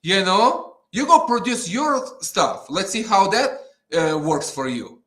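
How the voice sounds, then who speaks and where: This is an animated, high-voiced man with an Eastern European accent in a roomy, echoing space. He speaks in a fired-up conversational rant. He is blunt, swears freely and repeats his points for emphasis. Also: takes it slowly. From Ukraine, former Soviet Union. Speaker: guest, petition campaigner.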